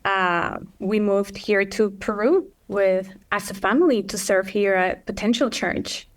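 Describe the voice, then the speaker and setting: A woman from Colombia, a campus pastor, introducing herself in a friendly, measured way on a church podcast. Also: medium pitch, fairly even intonation. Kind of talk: casual interview chat.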